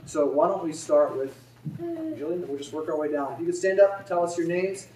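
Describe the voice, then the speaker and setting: A young man, a youth pastor, giving a relaxed, friendly talk to a congregation. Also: fairly high pitch, brisk, slight background noise. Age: young adult. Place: church sanctuary. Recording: echoey church from a distance.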